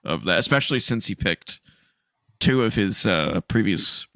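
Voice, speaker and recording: A man co-hosting a casual podcast, stuffy and sniffly from allergies, loose and laughing, swooping high for emphasis. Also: animated, a little slow, pushed and bright, no room sound. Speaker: podcast co-host. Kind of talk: casual chat.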